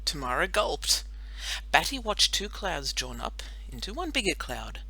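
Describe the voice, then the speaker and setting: A woman narrating a children's audiobook in an animated storytelling style. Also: low-pitched, a little slow, slightly rough, rough recording.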